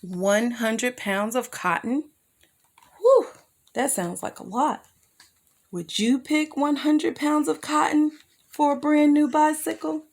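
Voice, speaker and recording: A woman reading a children's picture book aloud as a storyteller, expressive and careful, with asides to her young listeners. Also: high voice, slow. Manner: addresses young listeners with simple questions.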